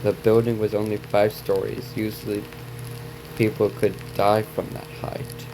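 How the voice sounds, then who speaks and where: A man's voice: on the low side, flat delivery, measured pace, noisy background. A man reading a story aloud as a reaction video host, stumbling over and repeating words, with asides to viewers.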